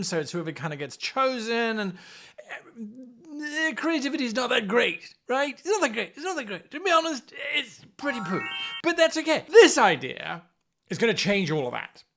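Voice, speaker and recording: A man's voice: extremely high pitch, very animated, normal speed, a bit bright. A man hosting a tabletop game-mastering show, explaining to camera with theatrical, exaggerated emphasis. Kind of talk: animated scripted explainer to camera.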